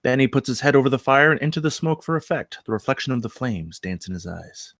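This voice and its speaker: A man reading screenplay stage directions aloud as narrator, with deliberate dramatic emphasis. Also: mid-range voice, expressive, fairly bright tone, no room sound, studio quality.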